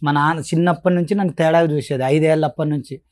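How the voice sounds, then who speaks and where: A man's voice: fairly high pitch, steady tone, fast talker. A man giving an unscripted personal account as a guest, deferential and repetitive as he explains. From Telugu-speaking south India. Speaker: guest telling his family grievance.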